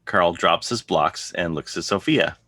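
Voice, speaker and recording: A man's voice: sits low, a little slow, sharp tone, no echo. A man narrating stage directions for an online table read of a comic, in a scripted style. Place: video call. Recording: online video call.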